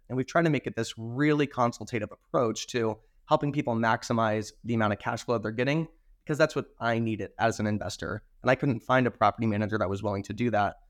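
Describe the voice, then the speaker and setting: A hoarse man, a podcast guest, confidently explaining how he runs his property management business. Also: quick pace. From Arizona. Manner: confident, explanatory, steady pitch. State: hoarse from recent illness. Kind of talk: casual interview answers.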